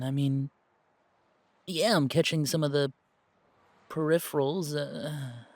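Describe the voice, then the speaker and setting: Man as a halting young werewolf, slow-paced. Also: fairly high pitch, dry recording. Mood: hesitant, focused. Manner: hesitant, rambling, halting. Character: earnest young male werewolf, anxious, thinking aloud. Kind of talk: audio roleplay drama.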